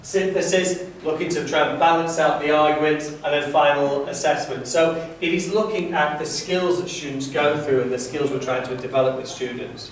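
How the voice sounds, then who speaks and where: A man's voice: fairly high pitch, fast talker, few pauses, slight background noise. A man leading a teacher training session, explaining step by step how an app turns a mind map into a presentation. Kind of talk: classroom demonstration. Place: school classroom. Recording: echoey room, mic far away.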